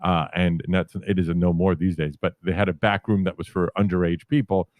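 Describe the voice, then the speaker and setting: A man in his fifties from New York City tells career stories in a low, deep voice. He wanders through anecdotes, correcting himself as he goes. The sound is close and dry, with no room around it. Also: little pitch movement, a little fast, darkish tone, no echo. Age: 50s. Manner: rambling, self-correcting anecdotes. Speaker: podcast guest. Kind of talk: casual storytelling interview.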